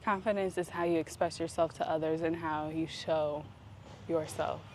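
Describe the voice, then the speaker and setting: Reflective woman over steady background noise. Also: low voice, moderate pace. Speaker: vlog host. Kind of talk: reflective casual monologue.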